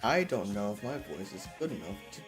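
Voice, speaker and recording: A man's voice: fairly low pitch, big pitch swings, a little fast, music in the background. A man streaming in a casual, rambling way, thinking aloud and putting himself down. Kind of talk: casual livestream chat.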